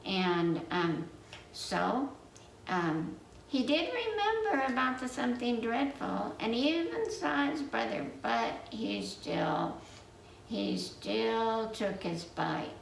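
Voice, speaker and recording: A woman telling a children's story from memory in a halting, meandering way, pausing to put questions to her listeners. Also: fairly high pitch, big pitch swings, gravelly. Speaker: library storyteller. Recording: roomy, distant mic with faint noise.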